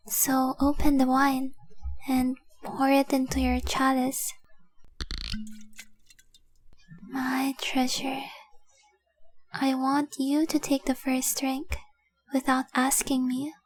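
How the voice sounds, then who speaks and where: Girl doing a high soft-spoken dragon gf for romance audio, super earnest n possessive, really slow and intimate. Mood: tender, inviting. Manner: earnest, intimate, deliberate, with breathy whispered phrases. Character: devoted young dragon girl, tenderly possessive fiancée. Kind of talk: heartfelt romantic audio roleplay.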